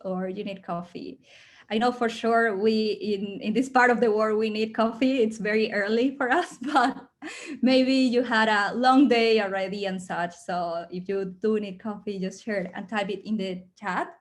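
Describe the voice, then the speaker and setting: A woman's voice: fairly high pitch, unhurried. A woman from Mexico with a strong Mexican accent, hosting an onboarding video call in an upbeat, chatty way with many fillers. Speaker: program host. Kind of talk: casual video call presentation.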